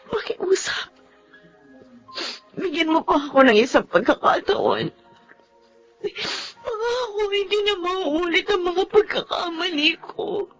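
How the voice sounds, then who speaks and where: A woman's voice: very high voice, full character voice, low-quality recording. A woman playing a spoiled, unfaithful young wife in a melodrama, emotive and whiny, with a Filipino accent. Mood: pleading. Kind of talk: melodramatic radio-style drama acting. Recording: music behind the voice.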